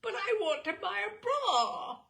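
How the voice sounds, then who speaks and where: A woman's voice: very high-pitched, very animated, a little slow, vocal fry, fairly bright tone, voice pushed up, heavily acted. A woman voicing an exasperated adolescent girl, pleading insistently in a storytelling reading.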